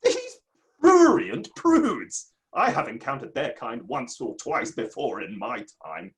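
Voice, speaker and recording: Woman doing pompous hot tempered victorian writer guy, pitch flying all over, florid and indignant. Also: wildly swooping pitch, slow pace, exaggerated voice. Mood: furious, vindictive. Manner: theatrical, declamatory, florid. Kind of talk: dramatic play reading.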